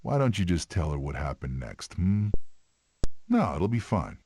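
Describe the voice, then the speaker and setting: An actor playing a gruff, sardonic male animal in an animated film, telling stories with dry sarcasm. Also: deep voice, measured pace, a little hiss or hum, heavily acted. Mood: dry, patient.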